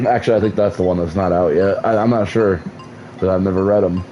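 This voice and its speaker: A man's voice: low voice, fairly even intonation, a little fast, dark tone, lo-fi sound, soft background music. A man streaming a game, chatting casually in a rambling way with many restarts and casual swearing, sounding like he is losing his voice. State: losing his voice, mouth numb. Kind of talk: casual gameplay commentary.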